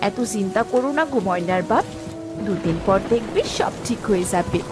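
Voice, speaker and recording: A woman's voice: mid-range voice, expressive, a little fast, over music, lightly acted. A woman voicing a harried village mother and wife in a cartoon, chiding and caring in a homely way. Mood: relieved, soothing. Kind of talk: cartoon voice acting.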